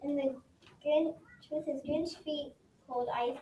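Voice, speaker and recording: A young reader, sounding like a child, reading a rhyming story aloud slowly and haltingly. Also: high voice, steady tone, dark tone. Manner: slow, stumbling over words. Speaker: readers theater performer. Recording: roomy, distant mic, background noise.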